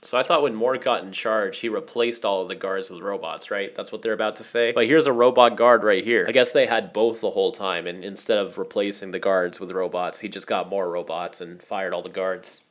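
A man's voice: lowish voice, fairly even intonation, fairly quick, few pauses. A man doing casual, opinionated episode breakdown commentary as a video reviewer. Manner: informal, opinionated fan talk.

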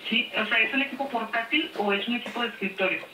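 A woman's voice: a little high, fast. A woman speaking politely and formally as a technical support agent on the phone. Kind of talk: formal customer service phone call. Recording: distant echoey phone line with noise.

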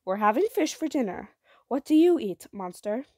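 Storybook mother, woman performer: protective, firm and deliberate, with lively emphatic swings in pitch. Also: no echo, studio quality. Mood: stern.

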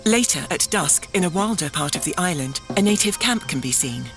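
A woman's voice: fairly low pitch, expressive, brisk, music in the background. A woman narrator reading scripted, present-tense scene descriptions for an audio drama.

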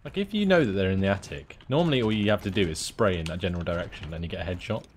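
A man in his twenties with a lowish voice chats casually through a war game session. He calls out what he sees in slangy, offhand talk. Gunfire and vehicle noise from the game are clearly audible behind him. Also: animated, rapid-fire. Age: 20s. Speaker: gamer recording gameplay with a friend. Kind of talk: casual gaming chat and live commentary. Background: war video game sounds.

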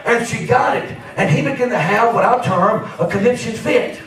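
High-pitched fervent male preacher in echoing church. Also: moderate pace, a bit bright. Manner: fervent, emphatic, punctuated with amens. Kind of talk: Pentecostal sermon. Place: church sanctuary, at and around pulpit. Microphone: handheld microphone. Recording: very echoey church hall, from far off.

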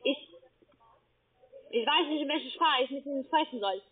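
High-pitched accented woman on tinny phone line. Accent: foreign. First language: not German. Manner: insistent, interrupting, halting non-native phrasing. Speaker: call center agent. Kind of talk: phone sales conversation.

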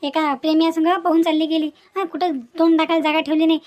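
A woman's voice: very high voice, little pitch movement, fast, darkish tone. A woman voicing a spiteful, indignant young daughter-in-law in a cartoon family quarrel, scolding and repeating herself with rhetorical questions. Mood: scornful. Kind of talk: Marathi family cartoon dialogue.

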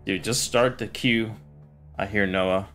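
A young man chatting casually with friends while gaming, relaxed and laid-back. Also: lowish voice, slow, faint music behind. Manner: relaxed, laid-back, says bro and dude.

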